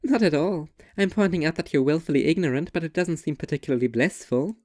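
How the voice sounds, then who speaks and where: An intense, sardonic young woman played by a performer argues her case in deliberate, articulate sentences. The voice sits at a middling pitch and is recorded very dry and close. It sounds like scripted literary dialogue, opinionated throughout. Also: a little mellow, dry recording, natural voice. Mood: argumentative, sardonic. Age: young adult. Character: two young women debating art, intense and sardonic. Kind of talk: scripted literary dialogue, argumentative monologue delivery.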